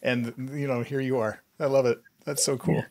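A man's voice: mid-range voice, fairly even intonation, vocal fry, darkish tone, a little hiss or hum. A middle-aged man hosting a podcast, chatting enthusiastically into a studio mic. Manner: enthusiastic, quick reactions and laughs. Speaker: podcast host. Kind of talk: casual interview chat. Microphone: studio mic with headphones.

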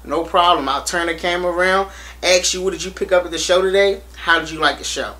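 A young American man vlogging to camera in a small room, hyped and animated, repeating himself as he urges viewers to come. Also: high-pitched, fast talker. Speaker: reptile channel vlogger. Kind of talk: casual to-camera promo vlog. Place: small indoor room against a plain wall.

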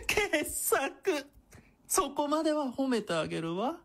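A woman's voice: high voice, fairly bright tone, heavily acted. An actress playing a sadistic, taunting female villain in a radio drama, with a sneering, theatrical delivery. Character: sadistic, taunting female villain who calls herself boku.

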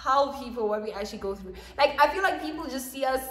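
High-voiced young South African woman. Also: fast. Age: 20s. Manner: animated, slangy. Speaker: vlog host, performer. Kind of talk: casual chatty storytelling to camera. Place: small plain room indoors, seated with two others. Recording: echoey room with long reverb.

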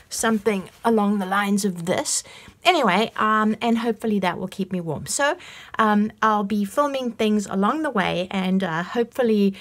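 A middle-aged woman hosting her own vlog, talking chattily into a phone held at arm's length, with wry asides. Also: medium pitch. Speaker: vlog host and author. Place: home room with bookshelves, then a large community hall.

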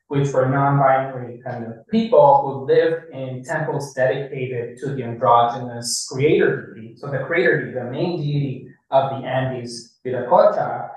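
A man's voice: medium pitch, normal speed, hardly any pauses. A middle-aged man from Ecuador giving a public lecture, explaining step by step and often checking in with "right?". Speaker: professor giving a public talk. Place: library meeting room. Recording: echoey room from far off.

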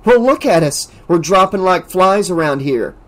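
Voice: medium pitch, expressive, moderate pace, a bit bright, pitched above the performer's own voice, natural voice. A reader voicing a hotheaded teenage boy who barks out his words. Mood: heated. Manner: barking, emphatic.

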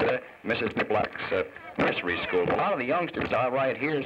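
A man's voice: lowish voice, heavy background noise. A middle-aged American man hosting an outdoor television event, interviewing and announcing in a courteous, formal way. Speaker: television host. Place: outdoor park gathering. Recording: dull 1950s television film soundtrack.

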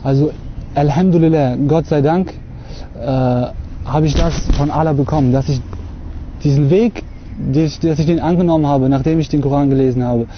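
A young man from Russia talking to camera outdoors on a lawn, earnest and hesitant, filling pauses often. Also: a little high, fairly even intonation, muffled recording, slight background noise. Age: young adult. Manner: hesitant, many fillers, earnest. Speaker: convert giving a testimony. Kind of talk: unscripted talk to camera.

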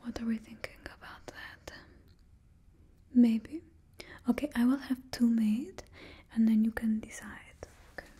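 A young woman voicing a supervillain's stylist, whispering slowly and gently with a put-on Russian accent in a small studio. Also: a little high, fairly even intonation. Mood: soft attentive. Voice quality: breathy close whisper. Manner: slow, gentle, instructing a client. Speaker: ASMR roleplay performer. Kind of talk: whispered ASMR roleplay. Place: small indoor studio with a painted backdrop.

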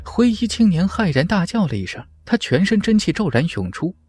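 A man's voice: sits high, sing-song, fairly quick, a little mellow, slightly rough, no room sound. Man narrating dramatically, swooping intonation over music. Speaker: audiobook narrator. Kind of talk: dramatic scripted narration of a fantasy martial arts novel.

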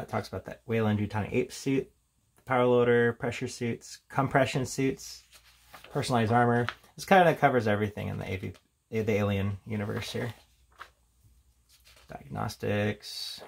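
A man's voice: medium pitch, vocal fry, slight background noise. A man giving a casual, unscripted, rambling commentary as a tabletop game reviewer, reading out headings between offhand remarks.

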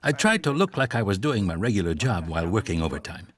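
A man giving a measured, reflective voice-over translation for a documentary interviewee. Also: fairly low pitch, sing-song, a bit bright.

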